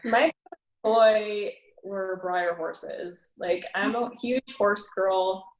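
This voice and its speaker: A woman taking part in a pageant, answering interview questions in a soft, hesitant way with many fillers. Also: medium pitch, slow, reverberant, highs cut off. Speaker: pageant contestant.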